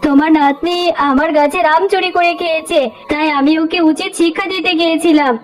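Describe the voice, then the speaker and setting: A woman's voice: very high-pitched, fairly even intonation, a little fast. A woman voicing a cruel, haughty tigress wife in a melodramatic cartoon, scolding and threatening with open contempt. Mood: menacing. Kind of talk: melodramatic cartoon story acting.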